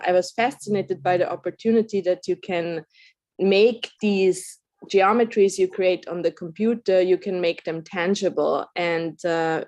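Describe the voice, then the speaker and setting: Austrian-born woman in her 40s, talking thru her design work on a panel, kinda low voice, dry close sound, lots of ums. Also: a little mellow, no echo. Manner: earnest, with frequent fillers and restarts. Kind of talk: conference panel talk.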